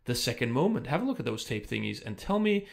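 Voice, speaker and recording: Casual male stream host with some vocal fry, talking off the cuff and interrupting himself. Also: mid-range voice, big pitch swings, fast talker, slight background noise. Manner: rambling, self-interrupting, chatty. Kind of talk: casual live-stream chat. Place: room in Germany. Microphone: studio microphone without pop filter.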